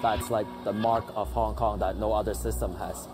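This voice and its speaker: Low-voiced man. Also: level tone, moderate pace. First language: Cantonese. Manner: thoughtful, hesitant with fillers. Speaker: interviewee. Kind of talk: reflective documentary interview. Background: background music.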